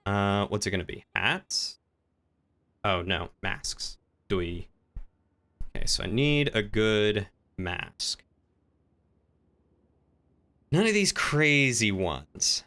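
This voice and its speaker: A man talking casually on a gaming livestream, chatty and playful, thinking out loud as he goes. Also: lowish voice, big pitch swings, drawn-out words, pauses often.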